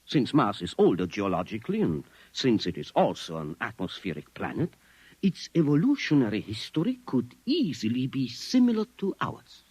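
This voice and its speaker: A formal, earnest man with a fixed conviction, in 1950s radio drama style. His pitch swings dramatically as he stresses his points with pedantic care. The voice is slightly rough and recorded dry and close. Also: sing-song, moderate pace, a little mellow, no room sound. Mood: earnest lecturing. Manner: formal, pedantic, emphatic phrasing. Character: earnest, precise, formal man convinced Martians live among us.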